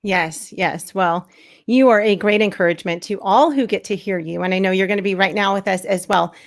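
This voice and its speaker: A woman hosting a live online event, upbeat and effusive, her voice slightly hoarse. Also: medium pitch, fairly quick. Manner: upbeat, effusive welcomes, laughs often. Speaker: host.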